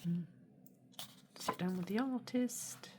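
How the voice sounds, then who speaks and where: A woman with a hoarse, snuffly cold, chatting casually and quietly as she unboxes art supplies, with many hesitations. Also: mid-range voice, takes it slowly. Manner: soft-spoken, often drops to a near whisper; mildly critical, hesitant with many ums. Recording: steady background noise.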